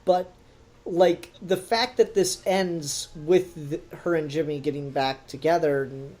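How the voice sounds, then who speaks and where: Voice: medium pitch, fairly even intonation, unhurried, a little mellow. This is a podcast host in casual review conversation. The delivery is critical and exasperated, with emphatic stress on the points being made.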